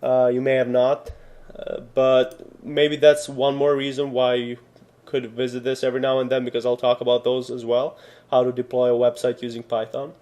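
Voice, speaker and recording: A man's voice: mid-range voice, completely flat delivery, moderate pace, darkish tone. A man from Serbia giving a casual coding tutorial, rambling with many fillers and self-corrections. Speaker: programming tutor.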